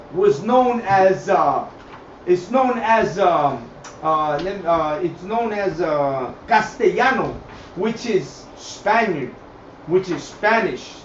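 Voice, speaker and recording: A man with a high voice teaches scripture and history off the cuff. He is emphatic, repeats his phrases and keeps checking in with listeners. The mic sounds far away in an echoing space. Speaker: teacher of a religious group. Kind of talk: improvised scripture and history lesson. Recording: echoey, distant mic, dull, faint noise.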